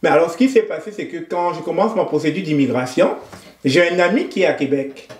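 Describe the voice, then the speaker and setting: A Cameroonian man, a guest in an interview, talking in a measured, thoughtful way and often pausing to find his words. His voice sits a little high. The room gives his voice a noticeable echo. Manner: thoughtful, measured, with frequent hesitations. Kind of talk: conversational interview.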